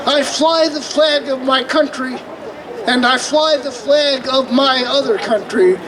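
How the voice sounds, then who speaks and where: A man reciting his poems at an outdoor rally in a measured, declamatory way. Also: very high voice, distant mic, poor audio. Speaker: poet. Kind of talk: spoken-word poetry reading. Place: outdoor urban park rally. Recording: crowd and street noise, off-mic.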